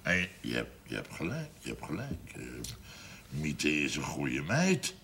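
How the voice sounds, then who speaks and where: An actor playing a gruff, stubborn older brewery man in a television drama, talking bluntly and haltingly in mutters. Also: rumbling low, huge pitch swings, pushed and bright, slight background noise, full character voice. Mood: musing, planning. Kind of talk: 1970s television drama acting.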